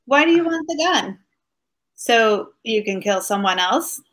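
A woman's voice: a little high, animated, a little slow, a bit bright. A middle-aged woman playing a cool, dryly witty detective's wife in 1940s radio comedy style. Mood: cool, probing. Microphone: seated before camera on video call.

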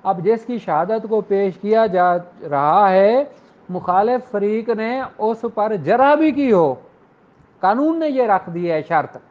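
A man's voice: high-pitched, expressive, slow. A man with a Pakistani accent giving an informal law lecture, explaining things and mixing in English legal terms. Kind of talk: informal lecture, reading statutes aloud and explaining them. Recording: dull lo-fi recording.

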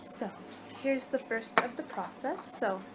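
A woman's voice: a little high, animated, unhurried. A young American woman doing a casual ASMR roleplay as a vampire, rambling conversationally on a homemade webcam recording. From United States. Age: young adult. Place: living room indoors at home. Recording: noisy amateur webcam recording.

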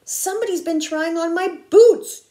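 A woman voicing a big, indignant papa bear in a children's storybook, booming out his complaints. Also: moderate pace, mellow, roomy sound. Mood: booming indignation.

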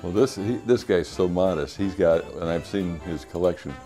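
Brief: modest older radio hobbyist, hesitant restarts, very deep warm tone, lapel mic outside. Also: male voice, moderate pace, soft background music. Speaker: old-time radio show host. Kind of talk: casual interview chat. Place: shaded outdoor patio.

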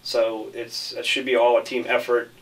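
A man speaks as a film director in a casual interview. His pitch stays quite level and unshowy. He sounds a little way off from the microphone. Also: little pitch movement, normal speed, far from the mic, low-quality recording.

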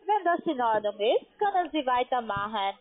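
A woman's voice: on the high side, big pitch swings, normal speed. A woman with a foreign accent, a non-native speaker, explaining things as a call centre sales agent over the phone. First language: not German. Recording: tinny phone line, faint noise.

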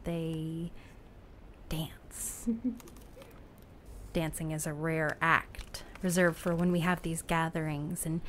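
An actress playing a reflective, anguished raft dweller from an ocean world in an audio drama, halting and often hushed. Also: female voice, sits low, little pitch movement, a little hiss or hum. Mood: tender, hushed.

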